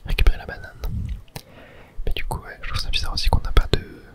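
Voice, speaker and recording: Casting: ASMR gaming host, breathy close-up whisper throughout, casual unhurried running commentary. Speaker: host playing a video game. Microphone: close microphone.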